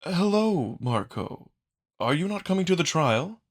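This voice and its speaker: A man voicing a genteel, scheming male jackal noble in a visual novel, with a high, soft voice and formal, measured diction. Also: sing-song, full character voice. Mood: polite, coy. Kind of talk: visual novel dramatic reading.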